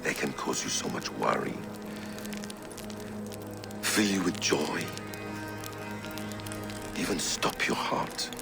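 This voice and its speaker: A man playing a grave, grieving middle-aged assassin in a video game, speaking in a measured, brooding way. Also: rumbling low, lively intonation, creaky, heavily acted. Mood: grieving, bitter. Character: grave, grieving Hidden One assassin, a bereaved father. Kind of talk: cinematic video game dialogue acting. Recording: music behind the voice. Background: game music.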